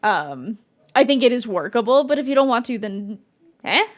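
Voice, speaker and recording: A woman's voice: a little high, sing-song, normal speed. A woman streamer chatting casually while playing a video game, rambling and restarting her sentences. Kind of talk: casual gameplay commentary.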